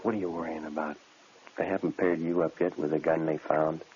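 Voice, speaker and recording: A man's voice: very deep voice, level tone, brisk, a little mellow. A man playing a sleepy, irritated jail cellmate in a 1950s radio drama, grumbling in a curt, dismissive way. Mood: weary, dismissive. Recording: muffled noisy old radio recording.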